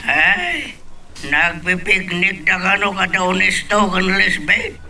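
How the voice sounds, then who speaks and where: A man's voice: high-pitched, lively intonation, slow, pushed and bright, a little hiss or hum, heavily acted, rough recording. A man playing a father in an Ilocano radio drama. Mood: matter-of-fact. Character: father talking with his worried daughter.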